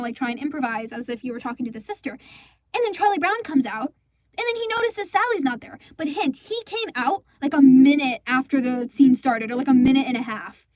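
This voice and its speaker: A young adult woman telling a story in a casual, animated rant, swearing freely and exasperated, quoting people as she goes. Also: high voice. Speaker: storyteller and amateur stage performer. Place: small indoor recording space with acoustic foam.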